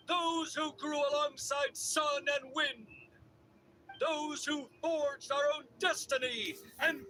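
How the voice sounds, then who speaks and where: A man voicing a proud, commanding orator with a solemn, loudly projected delivery in a video game ceremony. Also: extremely high pitch, slight background noise, exaggerated voice, poor audio. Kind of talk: video game dramatic oration.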